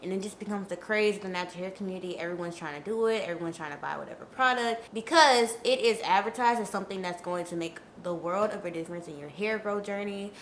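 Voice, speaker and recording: A woman's voice: medium pitch, fast talker, hardly any pauses, a bit bright, a little hiss or hum. Young woman w a stuffy cold voice chatting straight to viewers, kinda echoey room. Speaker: natural hair vlogger. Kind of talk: casual advice vlog.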